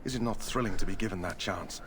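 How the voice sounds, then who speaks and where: A man's voice: low-pitched, fast, background noise, close to an everyday voice. A man voicing an earnest, courteous human knight recruit in a fantasy game, speaking in formal, polite phrases. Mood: hopeful, earnest. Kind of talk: scripted fantasy game dialogue.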